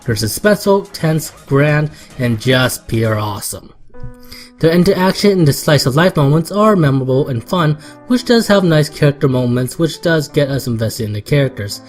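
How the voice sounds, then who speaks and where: A man's voice: a little high, lively intonation, moderate pace. Man narrating a game review over music.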